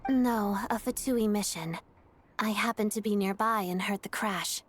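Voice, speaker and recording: A woman's voice: mid-range voice, unhurried, a little hiss or hum, lightly acted. An actress playing a composed, courteous young female soldier and ballerina, speaking politely and with reserve for a video game.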